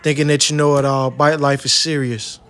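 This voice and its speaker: A man's voice: medium pitch, flat delivery, fairly quick, a bit bright. An American man hosting a vlog, chatting casually in a slangy street style. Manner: slangy, street-talk delivery. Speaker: vlog host. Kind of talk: casual chat. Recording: clearly noisy background.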